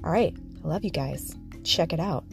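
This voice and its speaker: A woman's voice: sits low, moderate pace, creaky, over loud music. A woman hosting a spiritual podcast, chatting casually and bubbly, laughing often, recorded on a podcast microphone. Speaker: podcast host. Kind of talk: casual chat.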